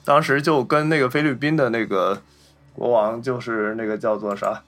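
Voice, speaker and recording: A man's voice: mid-range voice, fairly even intonation, normal speed, a bit bright, soft background music. A man hosting a film podcast, chatting casually and telling anecdotes in a wordy, repetitive way.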